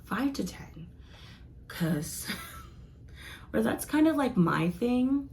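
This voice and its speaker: A young woman chatting casually to her viewers in a rambling review, laughing often and filling pauses with "like" and "um". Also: a little high, lively intonation, creaky, darkish tone. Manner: chatty, giggly, rambling with many fillers. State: losing her voice. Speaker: vlogger reviewing comics.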